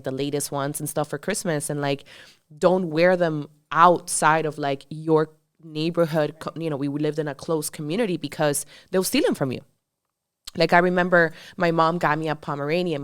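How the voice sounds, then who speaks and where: A woman in her thirties from Colombia, talking as a podcast co-host. Her voice sits low and sounds smooth and clean. She talks in a chatty, emphatic way, full of fillers, on a dry recording. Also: no room sound. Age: 30s. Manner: chatty and emphatic, many "like" and "you know" fillers. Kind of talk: casual podcast chat.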